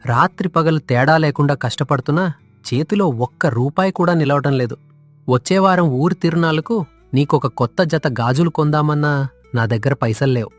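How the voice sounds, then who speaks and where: A man's voice: on the high side, normal speed, faint music behind, natural voice. A man playing an honest, poor village handloom weaver, earnest, humble and weary, in a moral story drama. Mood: weary, resigned. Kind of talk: audio moral story acting.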